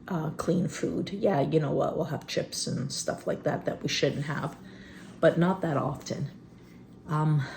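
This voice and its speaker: Phlegmy woman in her fifties, low and gravelly. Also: darkish tone, a little hiss or hum. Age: 50s. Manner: rambling, candid, many fillers. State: phlegmy, clearing her throat. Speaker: vlogger. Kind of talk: unscripted personal vlog. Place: rural homestead.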